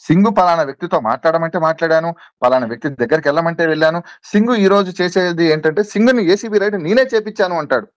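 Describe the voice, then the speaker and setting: A man's voice: fairly high pitch, racing, dry recording, highs cut off. A man being interviewed on a recorded call, protesting insistently and repeating himself as he defends his position.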